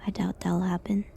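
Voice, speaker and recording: A woman playing a falsely accused village healer in a medieval audio drama, sad and resigned, speaking softly and hesitantly with pauses. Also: lowish voice, exaggerated voice. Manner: soft, hesitant, breathy delivery with pauses. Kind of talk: dramatic audio roleplay monologue, medieval setting.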